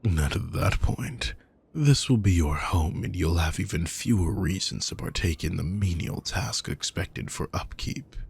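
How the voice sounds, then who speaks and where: A man performs an aristocratic male villain in an intimate roleplay audio. The voice sits very deep, with a heavy creak and a raspy, breathy edge. He is possessive and quietly menacing, addressing the listener with condescending, darkly sardonic courtesy. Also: measured pace, heavily acted. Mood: darkly amused.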